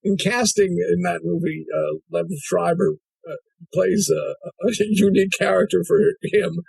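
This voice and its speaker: A man's voice: sits high, steady tone, normal speed, creaky, a little mellow, breathy edge, no room sound, rough recording. A male interviewer asking probing questions, often restarting his sentences. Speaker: interviewer, host.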